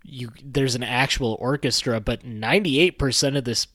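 A man's voice: mid-range voice, moderate pace, a bit bright, dry recording, clean studio sound. A young man co-hosting a podcast, musing about a movie in a rambling, hedging way. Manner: rambling, hedging, lots of "like" and "I guess". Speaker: podcast co-host. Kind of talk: casual movie discussion.

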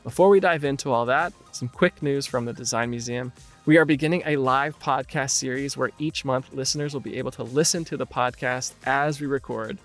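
A man hosting a design podcast, upbeat and friendly as he moves from scripted announcements into loose chat. His voice has a gravelly, fry-tinged texture, and a faint music bed sits under the opening. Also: mid-range voice, a bit bright. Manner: upbeat, reads announcements then chats loosely. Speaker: podcast host. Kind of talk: scripted podcast intro then casual interview.

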